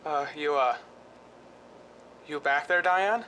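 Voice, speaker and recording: An actor playing a hesitant husband and secret accomplice in a radio drama, speaking in a halting, understated way. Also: male voice, on the high side, pushed and bright, slight background noise, poor audio. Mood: guarded, relieved.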